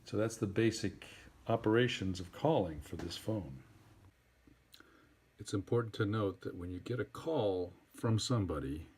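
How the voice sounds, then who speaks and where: Low-pitched man giving step-by-step instructions. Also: darkish tone, breathy edge. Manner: plain, deliberate, instructive. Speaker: instructor helping a phone user. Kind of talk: simple step-by-step spoken tutorial.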